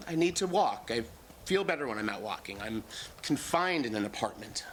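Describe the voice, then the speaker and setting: A man's voice: medium pitch, animated, normal speed, slight background noise, close to an everyday voice. A man in a staged play reading, playing a troubled, injured brother who answers in a simple, literal, childlike way. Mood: stubborn, matter-of-fact.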